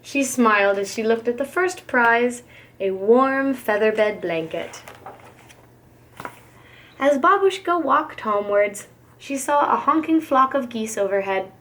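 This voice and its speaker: A woman preschool teacher reading a picture book to young children in an expressive, careful storytelling manner. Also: a little high, unhurried.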